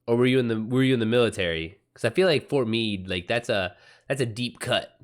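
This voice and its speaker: A man's voice: mid-range voice, fairly quick. Casual American guy chatting on a livestream. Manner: informal, slangy, swears freely. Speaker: livestream host. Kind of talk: casual chat with livestream viewers.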